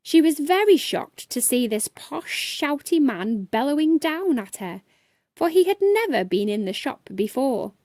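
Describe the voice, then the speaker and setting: Casting: female children's storyteller, high-pitched and unhurried, reading expressively in a mellow tone. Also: big pitch swings, dry recording, clean studio sound. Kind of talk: expressive scripted children's bedtime story narration.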